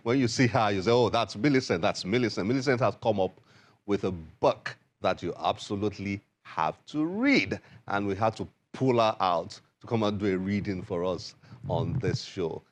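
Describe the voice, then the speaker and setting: Low-voiced male TV presenter. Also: expressive, moderate pace. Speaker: TV show presenter introducing a guest. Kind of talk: upbeat scripted presenter introduction.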